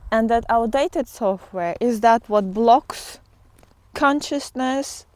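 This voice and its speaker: Slow-talking woman with a higher voice. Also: no room sound. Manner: halting, reflective, with drawn-out pauses. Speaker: spiritual teacher and vlogger. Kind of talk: informal spiritual talk to followers while out walking.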